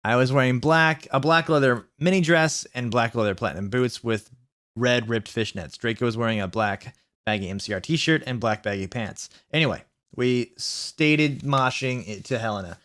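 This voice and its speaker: A man's voice: medium pitch, moderate pace, a bit bright, dry recording, clean studio sound. A man hosting a comic dramatic reading of fan fiction, mock-earnest and sarcastic in his asides.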